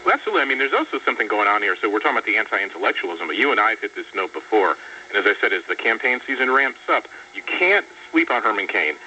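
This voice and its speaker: Fast, very sharp-voiced man giving sardonic commentary. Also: on the low side, sing-song. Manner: articulate, incredulous, sardonic. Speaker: radio show guest commentator. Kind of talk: political commentary in a radio interview. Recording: dull, distant radio audio with faint hum.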